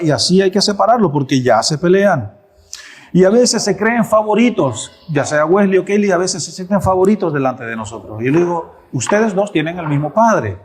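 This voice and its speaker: A man's voice: on the high side, breathy edge. A man in his thirties preaching a sermon in a church, asking rhetorical questions and answering them emphatically. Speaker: pastor.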